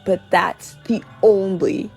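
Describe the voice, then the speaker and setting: A woman voicing a gleeful, evil cursed creature from a video game, taunting and gloating with drawn-out cackles. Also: medium pitch, steady tone, creaky, a little mellow, breathy edge, faint music behind, heavily acted. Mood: grudging explaining. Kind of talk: dramatic video game dialogue reading.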